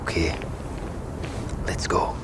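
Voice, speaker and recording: An actor playing a steady, protective survivor in an audio drama, speaking plainly and reassuringly, often hushed. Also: male voice, slow pace, whispered, lightly acted. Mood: gentle urgency. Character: steady protective male survivor leading companions to a boat.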